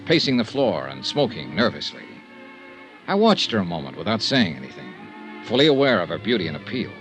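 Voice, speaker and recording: An American actor playing a blunt, cynical insurance investigator in a 1950s radio detective drama. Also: male voice, mid-range voice, a bit bright, low-quality recording. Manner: blunt, hard-boiled, wry. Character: tough, cynical male insurance investigator, radio noir hero. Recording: vintage radio drama recording, faint music.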